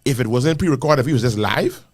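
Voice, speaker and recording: A Bahamian man co-hosting a radio panel talk show, giving animated opinions with many restarts. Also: medium pitch, fast talker, dry recording, studio quality. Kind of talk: casual radio panel discussion.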